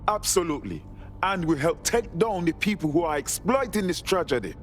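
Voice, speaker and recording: An actor playing an earnest, plain-spoken new recruit to a resistance group in a video game. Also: male voice, a little high, big pitch swings, brisk, soft background music. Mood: determined.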